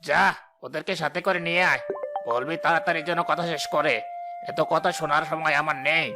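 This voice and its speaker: A man's voice: fairly high pitch, fairly even intonation, brisk, close to an everyday voice. A man voicing a callous, greedy village headman in a cartoon, dismissive, scolding and self-important. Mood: irritated, scolding. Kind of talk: cartoon voice acting. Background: faint music.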